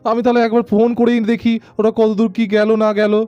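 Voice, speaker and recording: Woman plays mild family man, pitched well down, mellow, gently chiding, dry sound over music. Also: flat delivery, fairly quick, no echo, muffled recording, natural voice. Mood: concerned.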